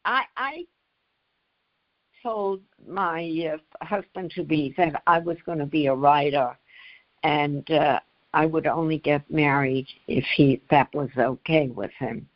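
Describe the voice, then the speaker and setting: Halting older woman, lower unhurried voice. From United States. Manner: halting, pausing mid-phrase. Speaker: author, interview guest. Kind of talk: conversational interview recollection. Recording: slightly dull, lo-fi audio.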